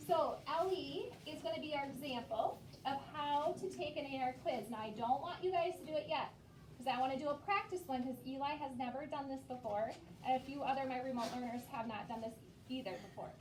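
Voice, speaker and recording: A woman's voice: high-pitched, fairly quick. A woman teaching elementary children in a classroom, giving directive, step-by-step instructions. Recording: echoey room, distant mic, faint noise.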